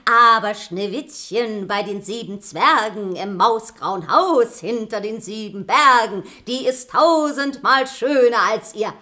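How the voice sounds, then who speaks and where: A gossipy, excitable fairy-tale wife with a high, bright voice. She shares news with dramatic relish in the style of a children's radio play. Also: slow, few pauses. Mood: incantatory.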